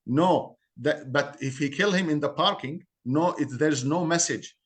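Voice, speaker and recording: A man from Syria with an Arabic accent, a community guest speaking earnestly in halting English and repeating words as he searches for them. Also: a little high, fairly bright tone. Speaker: guest, Assyrian community member. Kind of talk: interview on a call-in podcast.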